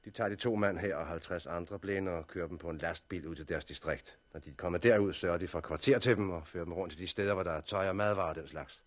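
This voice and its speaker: An actor playing a coolly ruthless gang organiser in a 1960s radio drama, brisk, formal and authoritative. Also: male voice, low voice. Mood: brisk orders.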